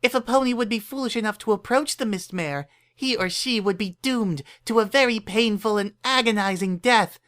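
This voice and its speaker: A high, girlish cartoon filly, played by a woman spins a spooky tale with theatrical relish. She is a bossy young pony who wants to be heard out. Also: normal speed, hardly any pauses, a bit bright, lightly acted. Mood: spooky, insistent.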